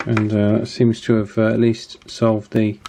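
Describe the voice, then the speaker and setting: A man with a fairly low voice talks through repair work as the host of a hobby channel. His delivery is informal and matter-of-fact. He explains things casually, with some hesitation as he goes. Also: monotone, a little slow, dark tone, a little hiss or hum.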